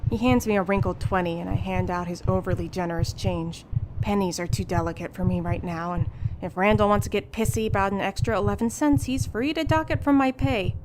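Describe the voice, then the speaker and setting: An actress playing a weary, defiant fast-food worker turning into a werewolf, narrating a horror audio drama with brooding intensity. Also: female voice, medium pitch, background noise, lightly acted. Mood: weary, tense. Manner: intense, brooding, literary storytelling. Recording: loud music bed behind voice.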